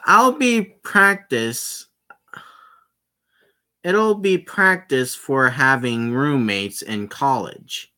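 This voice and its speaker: Slow, bright-voiced joking gamer man. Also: medium pitch. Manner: joking asides, swearing. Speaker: gamer reading a visual novel aloud. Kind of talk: casual let's-play narration.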